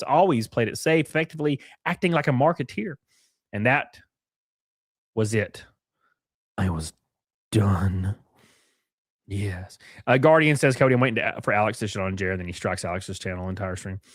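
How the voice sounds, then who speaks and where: A man's voice: mid-range voice, no room sound, studio quality. A man hosting a casual reaction livestream. He talks in a sarcastic, rambling way, greets chatters by name and sniffles from blocked sinuses. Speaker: livestream host.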